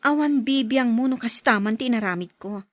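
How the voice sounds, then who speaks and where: Actress playing high-voiced earnest young Ilocano daughter. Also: female voice, a little fast, dry recording, natural voice. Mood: indignant. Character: young woman in love, dutiful daughter, firm in principle. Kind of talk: Ilocano radio drama acting.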